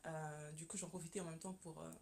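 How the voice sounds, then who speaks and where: Earnest low-voiced woman from Congo. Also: flat delivery, fairly quick, darkish tone. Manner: earnest, many hesitations and repeated words. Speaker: guest, communication consultant. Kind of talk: radio interview, personal testimony. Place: radio studio.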